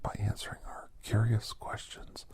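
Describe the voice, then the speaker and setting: A man voicing a kindly, reflective older doctor in soft, half-whispered ASMR storytelling, with breathy, halting short phrases. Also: dry recording, close to an everyday voice. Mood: hushed, reflective. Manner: unhurried, pausing between short phrases. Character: older doctor recounting his gentle alien encounters, reflective, kindly.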